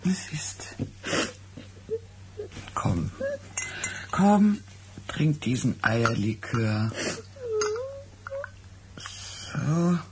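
An actress playing a worldly, soothing middle-aged friend in a German radio drama, coaxing and knowing. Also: female voice, rumbling low, sing-song, extremely slow, long pauses, noisy background, full character voice.

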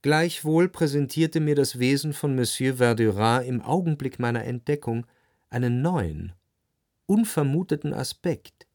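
An actor playing a reflective literary narrator in a radio drama, speaking in a measured, contemplative way. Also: male voice, mid-range voice, lively intonation, darkish tone, dry recording, studio quality. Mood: analytical. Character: reflective, analytical literary narrator of Parisian society.

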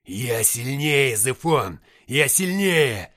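Male narrator as half-angel warrior, proud, taunting, drawn-out emphatic words, low voice, audiobook fantasy. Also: fairly bright tone, husky, exaggerated voice. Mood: fierce, triumphant. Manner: emphatic, slow, drawn-out declarations.

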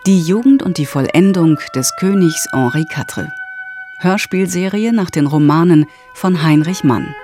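A woman's voice: on the low side, lively intonation, measured pace, warm tone, faint music behind. A woman announcer reading scripted narration.

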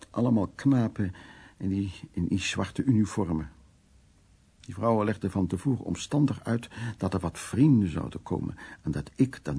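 Radio drama: worldly, blunt male narrator, dark and creaky, wry earthy delivery. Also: lowish voice, heavily acted. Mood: wry recounting. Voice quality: gravelly, husky, dark. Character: worldly, blunt man recounting wartime escapades in Berlin.

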